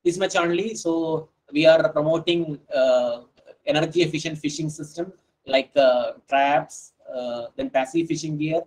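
A man in his thirties, a scientist giving an online lecture and answering questions, speaking haltingly with many fillers over a headset on a video call. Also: on the high side, a little mellow, low-quality recording. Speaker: fisheries scientist giving a webinar talk.

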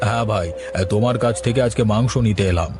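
A man's voice: fairly low pitch, quick pace, background noise, close to an everyday voice. A man voicing a benevolent, bossy older family guest in a cartoon, avuncular and authoritative. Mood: brisk. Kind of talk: cartoon voice acting. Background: music.

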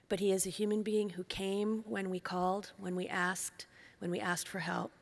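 A woman introducing a speaker from a podium on a conference stage, heartfelt and measured. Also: lowish voice, creaky. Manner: heartfelt, measured, listing phrases. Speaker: event host introducing the speaker. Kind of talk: formal introduction speech. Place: large conference hall stage. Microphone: podium microphone. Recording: long hall reverb.

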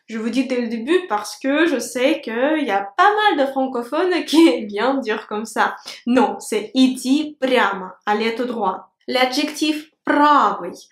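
Young woman with a Russian accent, high voice and unhurried pace, teaches a language lesson to camera. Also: expressive, roomy sound. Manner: clear, slow enunciation of words with gestures. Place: indoor room with a plant. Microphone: camera at medium framing.

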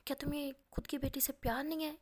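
A woman's voice: high-pitched, little pitch movement, quick pace, lightly acted. A woman voicing a stern, protective father who scolds his wife, in a whisper. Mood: angry.

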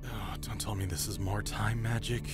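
A man's voice: lowish voice, steady tone, moderate pace, music in the background, natural voice. A man playing a serious, urgent adventuring hero in a fantasy audio drama. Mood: grim, dismayed.

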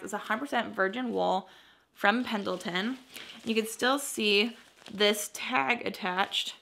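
A woman's voice: mid-range voice, gravelly, a bit bright. A young adult woman with an American accent, chatting casually and enthusiastically to camera as a vlogger showing her finds at home. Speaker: vlogger, clothing reseller. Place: bright furnished living room at home.

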